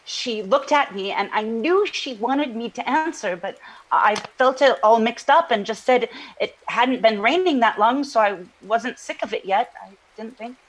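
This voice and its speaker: A halting, high-voiced troubled dreamer. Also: female voice, lively intonation, rough recording. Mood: halting, puzzled. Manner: halting, searching for words, repeats herself. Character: troubled woman earnestly recounting an eerie dream. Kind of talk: audio drama acting, naturalistic interview.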